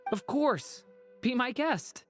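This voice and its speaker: Earnest, high-voiced young boy. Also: expressive, takes it slowly, soft background music. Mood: polite. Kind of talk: animated video game voice acting.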